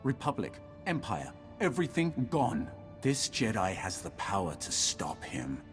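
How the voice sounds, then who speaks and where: Deep-voiced, solemn Sith lord. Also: male voice, huge pitch swings. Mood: ominous. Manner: solemn, ominous, measured declarations. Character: grave Sith lord defector, proud, coldly calculating seer. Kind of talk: dramatic video game acting. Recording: loud music behind the voice.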